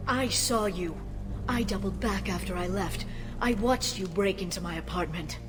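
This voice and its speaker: A woman's voice: mid-range voice, moderate pace, long reverb tail, music in the background, close to an everyday voice. An actress playing a composed, coldly accusing female researcher in a video game drama.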